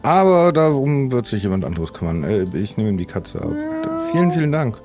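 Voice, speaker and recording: A man's voice: mid-range voice, big pitch swings, brisk, music in the background. A man playing a polite, practical, slightly scatterbrained pirate in an improvised audio drama, casual in manner.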